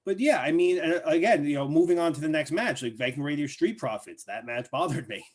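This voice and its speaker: A man co-hosting a wrestling podcast, speaking in a measured way and hedging his points with fillers. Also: fairly high pitch, animated. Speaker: podcast co-host. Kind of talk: casual wrestling chat.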